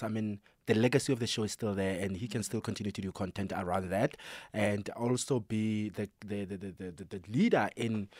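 A man comments informally as a guest on a talk show. His voice sits fairly low, with a dry, close sound. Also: no room sound.

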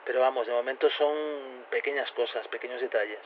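A man's voice: mid-range voice, steady tone, fast, natural voice. An actor playing a flustered, careful male researcher who answers interview questions hesitantly, repeating himself. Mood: earnest, flustered. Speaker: interviewee on a radio programme. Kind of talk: spontaneous-sounding phone-in interview. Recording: dull, noisy radio link.

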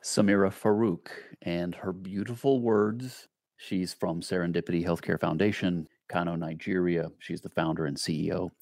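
A very low-pitched man hosts an interview podcast. He speaks earnestly and leans on words for emphasis. His voice is close and dry, with no room sound. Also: creaky, darkish tone, no room sound. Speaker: podcast host.

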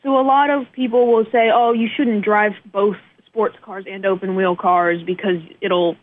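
A woman's voice: mid-range voice, moderate pace, no echo. Young woman, guest interview, casual, many fillers, tinny phone line. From United States northeast. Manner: many fillers, relaxed and modest. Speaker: guest racing driver.

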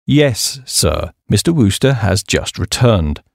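A man voicing an unflappable, deferential English butler with a formal, measured and courteous manner. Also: lowish voice, very animated, vocal fry, no echo, studio quality, heavily acted. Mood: calm, deferential.

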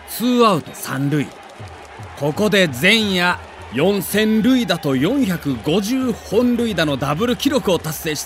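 A man's voice: sits high, a bit bright. A man narrating a sports documentary in a theatrical, emphatic storytelling manner.

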